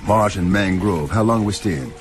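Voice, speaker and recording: A man's voice: low-pitched, moderate pace, faint music behind, close to an everyday voice. An actor playing a loyal, wary, plain-spoken island boatman with a Caribbean accent in a radio drama. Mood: wary, frank. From Cayman Islands.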